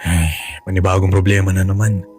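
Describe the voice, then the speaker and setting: A man's voice: low voice, little pitch movement, brisk, a little mellow, soft background music, close to an everyday voice. Humble, pleading Filipino man played by an actor. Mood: resigned, tender. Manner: pleading, self-effacing. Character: cash-strapped, apologetic, devoted young father and lover. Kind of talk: radio drama acting.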